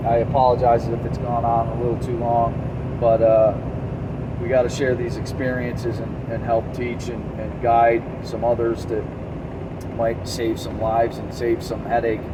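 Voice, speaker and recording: A man talking casually as a truck driver in an off-the-cuff vlog, halting and plain-spoken, with folksy slang. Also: mid-range voice. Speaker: truck driver sharing advice. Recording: far mic, loud vehicle and air noise.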